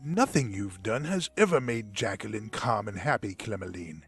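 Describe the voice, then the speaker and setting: An actor playing a guarded man who calls himself a control freak, speaking in a dry, measured way. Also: fairly low pitch, animated, a bit bright, husky, faint music behind, heavily acted. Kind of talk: web drama acting.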